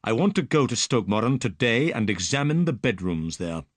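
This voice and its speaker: A man voicing a calm, clever male detective who speaks briskly. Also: medium pitch, no room sound.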